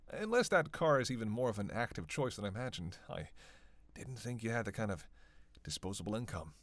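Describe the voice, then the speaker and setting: A man's voice: lowish voice, expressive, a little fast, a bit bright. A man playing a sardonic, playful vampire who banters sarcastically with his hunter in an audio roleplay. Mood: sarcastic. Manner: sarcastic, playful, drawling asides with sighs and scoffs. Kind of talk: audio roleplay acting, one-sided dialogue.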